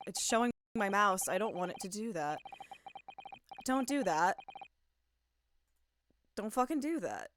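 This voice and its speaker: A woman's voice: very animated, unhurried, long pauses, a little hiss or hum. A young woman streaming a game with friends, chatting, laughing and reading out dialogue, with game music behind. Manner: giggly, swearing, reads dialogue in a lower, quieter voice. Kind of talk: casual let's-play chat and text reading.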